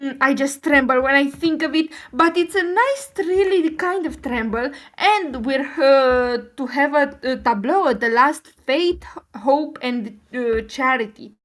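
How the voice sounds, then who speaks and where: Lady doing a high voiced schoolgirl from a storybook, romantic and earnest, chatters on and on. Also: measured pace, a bit bright. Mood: excited. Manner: effusive, breathlessly wordy.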